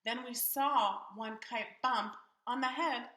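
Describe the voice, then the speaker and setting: A woman's voice: on the high side, slow pace, a bit bright, reverberant. A woman reading a storybook aloud to young children expressively and theatrically, putting questions to her listeners.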